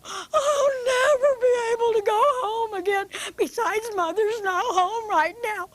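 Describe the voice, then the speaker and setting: A woman voicing a tearful little girl bunny in a puppet show, sobbing and wailing in a very high squeal. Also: a little slow, dry recording.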